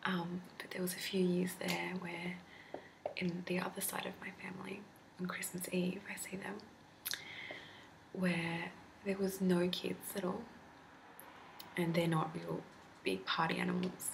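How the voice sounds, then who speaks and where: Woman in her twenties, whispered chat, rambling, gravelly, grainy laptop recording with hiss. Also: little pitch movement, measured pace, long pauses. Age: 20s. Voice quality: slightly deep whisper, not soft-spoken. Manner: rambling, chatty, laughs often. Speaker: ASMR creator. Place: candlelit room at home. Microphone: laptop mic.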